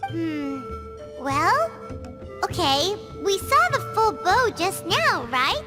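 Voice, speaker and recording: A woman's voice: very high voice, sing-song, takes it slowly, over music, exaggerated voice. An actress playing a small, cheerful, curious childlike fairy girl in a video game, chirpy and exclamatory. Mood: pondering.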